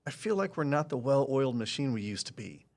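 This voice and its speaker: Male supporting role: loyal veteran coworker, wistful, earnest, very expressive mid-pitched voice for audio drama. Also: fast. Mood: wistful, insistent.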